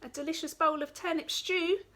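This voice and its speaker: A woman's voice: extremely high pitch, steady tone, moderate pace, bright, forceful tone, voice pushed up. A woman voicing a hungry, polite male wolf with a formal, courteous way of speaking. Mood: coaxing.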